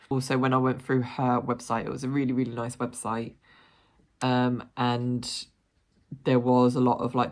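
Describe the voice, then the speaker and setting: A young woman, nasal and bunged up from hay fever, chatting to camera for her fitness vlog in a rambling way full of fillers. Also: rumbling low. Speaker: fitness vlogger. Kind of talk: casual chat to camera.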